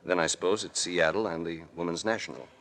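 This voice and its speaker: A man's voice: low voice, fast talker, a bit bright. An actor playing a suave, wry adventurer hero who narrates his own case in an old radio detective drama. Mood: flirtatious. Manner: smooth, wry, flirtatious, unflappable. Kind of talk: 1940s-50s radio detective drama acting and first-person narration.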